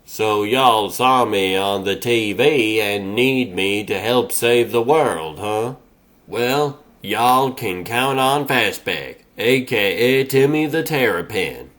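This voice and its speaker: A man voicing a slow-witted, folksy turtle superhero in a comic dub, with a rambling American Southern drawl. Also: fairly low pitch, animated, fairly bright tone. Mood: easygoing. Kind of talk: comic dub character acting.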